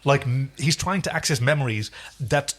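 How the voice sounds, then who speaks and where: A man's voice: medium pitch, moderate pace. A man co-hosting a podcast, casually recapping a TV episode with emphatic, repeated phrases. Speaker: podcast co-host.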